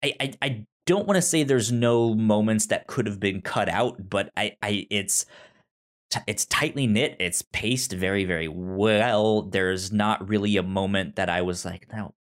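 A man co-hosting a podcast, chatting casually about films in a halting way, often repeating words and restarting. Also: on the low side. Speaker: podcast co-host. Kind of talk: casual film review chat.

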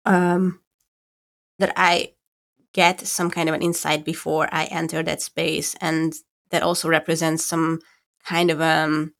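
A woman with a Hungarian accent speaks in a low, gravelly register. She talks slowly and reflectively, often stopping to search for the right word. The conversation has a relaxed, at-home podcast feel. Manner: thoughtful, searching for words with restarts. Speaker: podcast co-host. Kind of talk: casual conversation.

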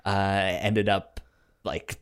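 A man's voice: sits low, little pitch movement, extremely slow, clean tone. A man hosting a casual podcast, often stammering and repeating his words. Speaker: podcast host.

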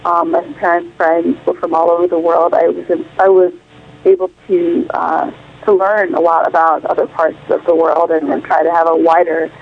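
A woman's voice: on the low side, flat delivery, moderate pace, vocal fry. Thoughtful woman on a tinny phone line. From Illinois, United States. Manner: thoughtful, searching for words with many fillers. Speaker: guest singer. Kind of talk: phone interview.

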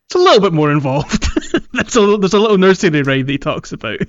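A man's voice: high-pitched, sing-song, fast talker. A man co-hosting a podcast in a casual chat about gaming, on a dry, slightly dull recording. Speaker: podcast co-host.

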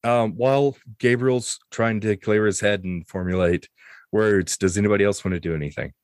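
A man's voice: on the low side, moderate pace, no room sound, clean studio sound. A man narrating as game master, improvising the scenes of a tabletop roleplaying game.

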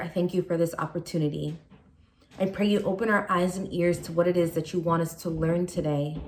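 Young woman youth pastor, earnest sermon delivery, slightly low-pitched. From Nigeria, raised in California.

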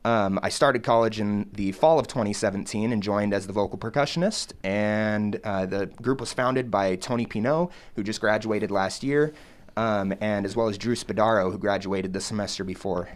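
A young man from Colorado chatting in a radio studio, his voice a touch low. He answers easily and fills his pauses with ums and uhs. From Denver, Colorado. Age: young adult. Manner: relaxed, lots of ums and uhs. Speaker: college a cappella vocal percussionist. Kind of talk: casual studio interview.

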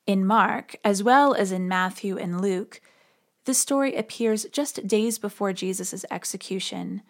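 A woman hosts a podcast in a conversational but scripted style. She explains religious material informally, with a middling pitch for a woman. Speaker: podcast host.

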